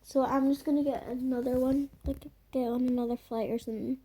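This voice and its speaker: A woman chatting loosely while playing a game, her voice croaky, full of fillers and trailing murmurs. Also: high voice, fairly even intonation, a little fast, dark tone, a little hiss or hum, rough recording. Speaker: gamer. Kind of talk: casual gameplay commentary.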